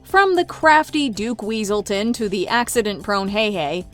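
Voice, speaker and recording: A woman's voice: mid-range voice, big pitch swings, normal speed, fairly bright tone, faint music behind. A woman hosting a countdown video with scripted narration.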